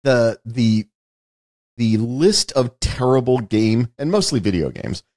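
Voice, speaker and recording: A man's voice: medium pitch, very animated, takes it slowly, a little mellow, no echo. A middle-aged American man hosting a casual podcast, talking in a rambling, dry, casually sweary way. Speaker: podcast host; screenwriter and author.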